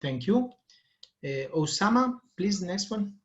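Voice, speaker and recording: A man's voice: high-pitched, animated, slow pace, lo-fi sound. Hesitant man moderating a video call. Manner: hesitant, many fillers. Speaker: debate moderator. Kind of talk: online meeting moderation.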